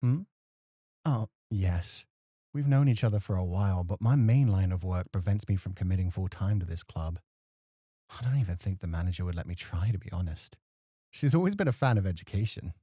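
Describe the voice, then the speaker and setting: A man's voice: low voice, animated, fairly quick, slightly rough, no echo, clean studio sound. A man playing a gracious, teasing professor moonlighting as a club host in a flirty ASMR roleplay. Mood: fond, gracious. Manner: teasing, playful, softly courteous. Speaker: voice actor.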